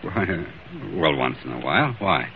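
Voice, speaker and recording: An actor playing a concerned but guarded doctor, polite, measured and probing, in old-time radio drama style. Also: male voice, very deep voice, big pitch swings, heavily acted. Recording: tinny, very noisy old radio broadcast.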